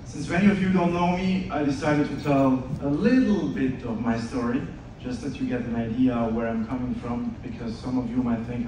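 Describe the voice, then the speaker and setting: A man gives an earnest motivational talk and speaks straight to the crowd. His voice sits in the middle range. It carries from away from the mic through a big, echoing hall with a steady hiss behind it. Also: medium pitch. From Switzerland. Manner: earnest storytelling, direct address to audience. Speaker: speaker at an event, podcast host. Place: large event hall. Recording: distant echoey hall with noise.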